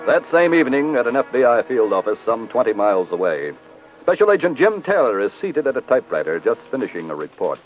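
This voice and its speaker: A man's voice: mid-range voice, big pitch swings, quick pace. A man narrating a 1940s radio drama in a grave, formal, dramatic manner. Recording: muffled 1940s radio recording with music.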